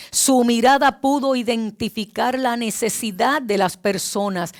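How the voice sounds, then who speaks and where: A woman preaching a devotional sermon in a deliberate, emphatic way, with repeated phrasing and rhetorical questions. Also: medium pitch.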